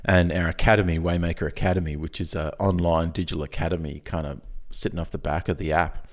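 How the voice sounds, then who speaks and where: An Australian man with a croaky early-morning voice, chatting informally and jokily as a podcast guest pitching his business. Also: steady tone, fast. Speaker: podcast guest, software company founder. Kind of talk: casual conversational pitch.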